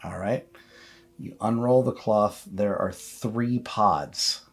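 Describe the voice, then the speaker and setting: A man's voice: on the low side, takes it slowly, vocal fry. A man narrating a tabletop horror game as game master, describing scenes and asking players for rolls.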